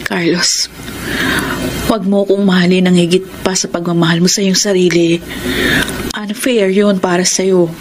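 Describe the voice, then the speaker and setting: A woman's voice: lowish voice, level tone, moderate pace, background noise, natural voice. A woman with a Filipino accent playing a resolute, regretful girlfriend in an earnest radio drama. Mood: gentle, regretful. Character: resolute, regretful woman ending her live-in relationship. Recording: loud music bed. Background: dramatic music.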